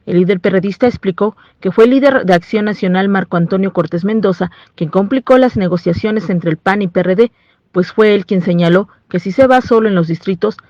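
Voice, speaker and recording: A woman's voice: mid-range voice, brisk, warm tone, no room sound, highs cut off. A woman with a Mexican accent reading a scripted news report as a reporter.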